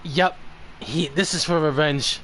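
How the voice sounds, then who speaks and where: A man's voice: a little high, fairly even intonation, measured pace, a bit bright. A man giving casual live commentary as he streams a video game. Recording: slightly noisy live stream.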